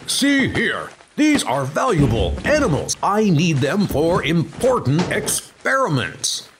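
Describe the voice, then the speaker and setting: Man as stern, deliberate animal scientist Doctor Canis. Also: fairly high pitch, huge pitch swings, background noise, full character voice. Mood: indignant. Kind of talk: comic dub character acting. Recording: music under the voice.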